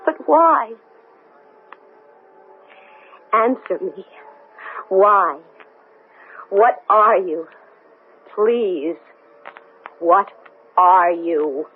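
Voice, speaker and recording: A woman's voice: mid-range voice, lively intonation, very slow, long pauses, breathy edge, narrow, tinny audio, soft background music, heavily acted. An actress playing a distressed, hesitant woman astronaut who doubts her own sanity, in 1970s radio drama style. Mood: frightened, distressed. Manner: emotional, hesitant, stammering.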